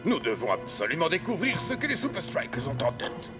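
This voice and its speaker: Stern scheming boss, swinging pitch. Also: male voice, huge pitch swings, fairly quick. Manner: commanding, emphatic. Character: stern, scheming male rival team boss. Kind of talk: dubbed cartoon acting. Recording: music behind the voice.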